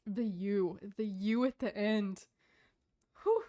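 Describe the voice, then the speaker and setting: A woman's voice: mid-range voice, takes it slowly, warm tone. A woman giving casual live stream commentary on a game, chatting in a gushing, giggly, informal way.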